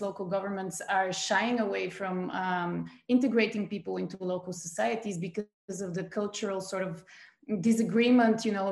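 A woman's voice: medium pitch, creaky, roomy sound. A woman from Bulgaria, a guest in an interview, speaking thoughtfully with many fillers and restarts. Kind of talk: conversational interview.

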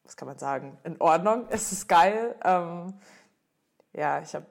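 American woman speaking halting German. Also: lowish voice, lively intonation, creaky. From United States. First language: English. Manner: halting, searching for German words. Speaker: guest. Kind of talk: casual interview answers.

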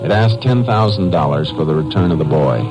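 A man's voice: low-pitched, animated, fairly quick, over music. An actor playing a blunt, level-headed Texas Ranger who narrates his case in 1950s radio drama style. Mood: grave narration. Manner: blunt, authoritative, clipped. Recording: noisy old 1950s radio recording.

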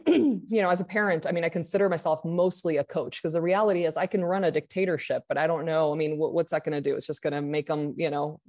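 A woman's voice: low-pitched, darkish tone. A woman who grew up in Argentina, a guest speaking candidly in a casual interview. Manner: candid, quick, many restarts.

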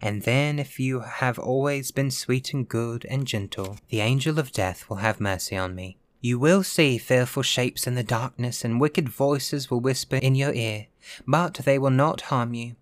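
A man's voice: unhurried, close to an everyday voice. A man playing a weary, mournful old ghost. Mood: soft, solemn. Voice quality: soft, low, dreamy delivery. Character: lonely, weary, centuries-old male ghost, sad and dreamy.

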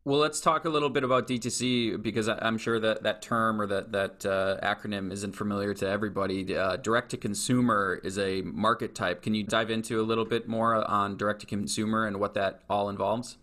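A man's voice: on the low side, steady tone, brisk, few pauses, a bit bright. A man hosting an interview podcast, reading a scripted intro and then asking loose, restarting questions. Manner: restarts and repeats words in questions. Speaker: podcast host.

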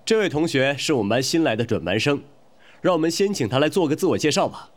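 A man acting a patient, matter-of-fact high school teacher in a modern audio drama, giving instructions. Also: expressive, quick pace, close to an everyday voice. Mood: instructing. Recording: faint background noise.

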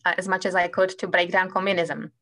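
A woman's voice: fairly low pitch, level tone, fast, fairly bright tone. A woman in her fifties from the Czech Republic with a Czech accent, telling her story as a guest on a podcast and laughing between phrases. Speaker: guest physician. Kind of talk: casual interview chat.

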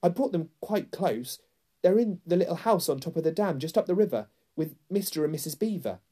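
A scared young storybook boy, played by a man, pitched high. He stammers and trembles through his words, eager to please and pleading. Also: normal speed, mellow, natural voice. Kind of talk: storybook character voice.

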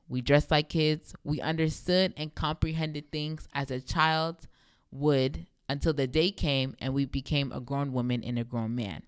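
A young woman with a deep voice hosting a devotional podcast, speaking emphatically and repeating phrases for effect. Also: smooth, no echo, studio quality. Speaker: podcast host.

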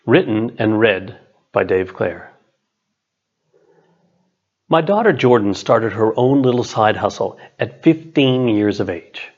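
A middle-aged man, an author and coach, reads his own written text as scripted narration in a measured, deliberate way. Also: on the low side, animated, darkish tone, dull audio. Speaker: author, business and leadership coach.

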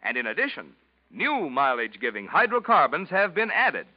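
A man announcing a wartime commercial with a genial, salesy lilt and a higher, lively voice. It comes through a muffled old radio broadcast. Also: moderate pace.

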